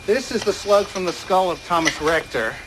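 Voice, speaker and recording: An actor plays a male ballistics doctor in an audio crime drama. His somewhat high voice is methodical, dry and skeptical, with a sarcastic edge. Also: normal speed, noisy background, lightly acted. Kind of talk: radio crime drama acting.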